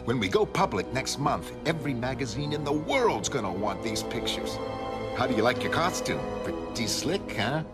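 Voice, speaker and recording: A man's voice: medium pitch, sing-song, normal speed, over loud music, pitched above the performer's own voice, natural voice. A man voicing an eager, slick publicity man in a motion comic, chummy and salesmanlike.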